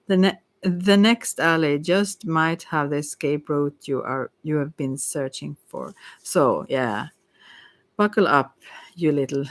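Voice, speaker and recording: Low-voiced woman giving a soft tarot reading. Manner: soft-spoken, rambling, hesitant, giggly asides. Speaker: tarot reader and ASMR host. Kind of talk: casual ASMR tarot reading.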